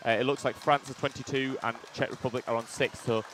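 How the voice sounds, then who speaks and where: A man with a loud voice doing colour commentary on a headset in a commentary box, chiming in with quick agreement and reading out viewer comments. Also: medium pitch, fairly even intonation, slight background noise, rough recording. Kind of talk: live sports commentary. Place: commentary box beside an indoor court.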